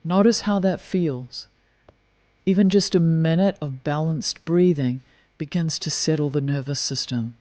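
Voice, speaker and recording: A man leading a guided meditation in a slow, soft, soothing manner, gently counting breaths. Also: fairly high pitch, no echo.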